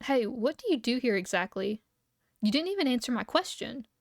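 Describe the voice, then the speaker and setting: A man's voice: extremely high pitch, sing-song, brisk, vocal fry, no echo, studio quality, exaggerated voice. Woman reading as a bewildered young researcher. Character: rattled young male researcher, disoriented and earnest.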